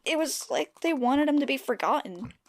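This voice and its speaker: A woman's voice: high-pitched, animated, normal speed. A woman voicing an earnest, stubborn teenage pony princess in a fan audio drama, outspoken and articulate. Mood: earnest, regretful. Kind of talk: animated-fandom audio drama acting.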